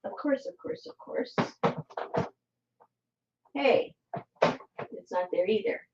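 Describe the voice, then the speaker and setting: A woman's voice: fairly high pitch, lively intonation, slow, highs cut off, very noisy. A woman hosting an art tutorial, chatting casually in a rambling way and muttering to herself as she works. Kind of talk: casual chat while painting.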